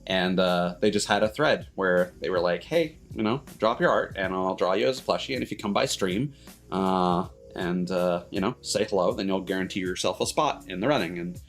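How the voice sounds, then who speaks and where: Low-voiced man rambling on a livestream. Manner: rambling, halting, backtracking mid-sentence. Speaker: streamer host. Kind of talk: casual livestream chat. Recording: music playing behind the voice. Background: background music.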